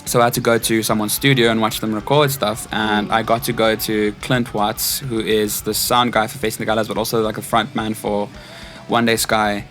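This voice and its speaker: A man's voice: fairly low pitch, little pitch movement, normal speed, hardly any pauses, fairly bright tone, faint music behind. A man in his twenties with a South African accent, telling personal stories casually as an interview guest. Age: 20s. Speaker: interview guest, stage crew technician. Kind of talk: casual chat, personal anecdotes.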